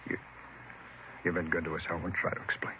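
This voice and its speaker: Man playing low-voiced, cornered American fugitive. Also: level tone, fairly quick, close to an everyday voice. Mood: resigned. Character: weary, cornered male fugitive convict. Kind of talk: 1950s radio drama acting. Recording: narrow-band, hissy old radio recording.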